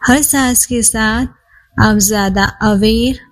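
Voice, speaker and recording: A woman guides a meditation at a very slow pace. She sounds calm and soothing, leaving long, unhurried pauses as she instructs the listener to relax. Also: a little high. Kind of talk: guided body scan meditation.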